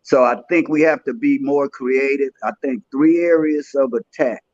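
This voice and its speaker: A man's voice: medium pitch, normal speed, darkish tone. A man in his sixties, a podcast guest speaking in an earnest, streetwise, plain-spoken way in casual conversation. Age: 60s. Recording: dry, dull lo-fi sound.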